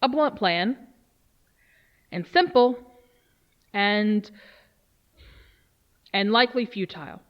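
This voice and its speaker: A woman playing a grave research official, delivering a solemn, measured dramatic briefing. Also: fairly high pitch, muffled recording, natural voice. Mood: grave, resolute. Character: grave female research official briefing on a doomsday alien threat. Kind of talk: dramatic scripted monologue.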